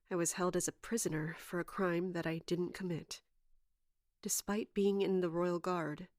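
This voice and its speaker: A woman playing a wounded, caring but guarded royal guard captain in an audio drama, speaking earnestly and haltingly. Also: lowish voice, a little mellow, no room sound, studio quality, natural voice. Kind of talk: dramatic audio fiction acting.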